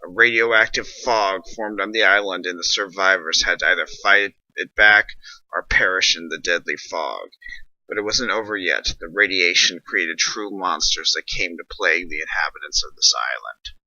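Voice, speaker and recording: A man's voice: sits low, moderate pace, pushed and bright, no echo. A man reading dramatic scripted story narration in a portentous manner. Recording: dull lo-fi dry recording.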